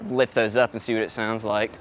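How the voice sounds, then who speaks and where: A man chatting casually as an audio technician on the job, informal and thinking out loud. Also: fairly low pitch, little pitch movement, brisk. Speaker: audio technician setting up a PA system. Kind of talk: casual on-the-job vlog chat. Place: on location at an event venue. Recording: noisy on-location event setup.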